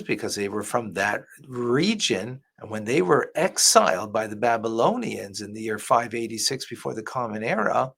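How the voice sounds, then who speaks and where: A man's voice: medium pitch, fairly bright tone, husky. A man, a rabbi, giving earnest religious commentary as he reacts to a clip. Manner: earnest, preacher-like teaching.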